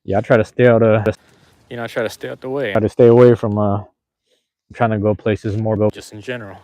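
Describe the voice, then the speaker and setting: A man's voice: fairly low pitch, steady tone, quick pace, dark tone, dry recording. A man livestreaming outdoors in light rain, chatting casually with viewers on his phone in a slangy, rambling way. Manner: laid-back, slangy, repeats himself. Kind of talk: casual rambling live chat. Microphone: phone camera.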